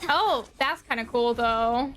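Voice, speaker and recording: A woman's voice: a little high, slow pace, a bit bright. A woman livestreamer giving casual, playful game commentary, thinking aloud, with slight noise behind her. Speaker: livestreaming gamer.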